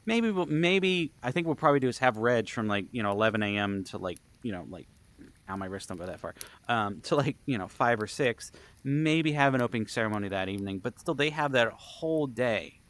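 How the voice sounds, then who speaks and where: A man's voice: medium pitch, lively intonation, a little fast, a bit bright. A man telling stories in a casual, rambling vlog style, with a jokey, self-deprecating manner. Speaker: vlogger and camp event organizer.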